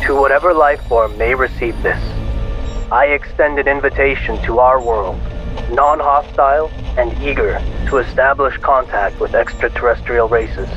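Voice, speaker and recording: A man plays a suave, self-important business magnate in an audio drama. His mid-pitched voice is measured and polished, with pompous phrasing over a music bed. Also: fairly bright tone, close to an everyday voice, low-quality recording. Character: suave, self-important male corporate tycoon villain.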